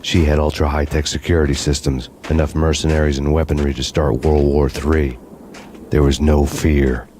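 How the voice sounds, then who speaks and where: An actor playing a weary, sardonic hard-boiled cop, narrating a video game in noir style. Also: male voice, rumbling low, normal speed, slight background noise. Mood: grim. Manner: flat, deadpan, sardonic.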